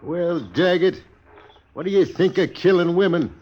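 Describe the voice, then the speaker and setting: An actor playing an angry, accusing wounded stagecoach driver in a 1950s radio western, blunt and insistent in folksy speech. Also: male voice, high voice, a little slow. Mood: bitter, accusing. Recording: dull, noisy old radio recording.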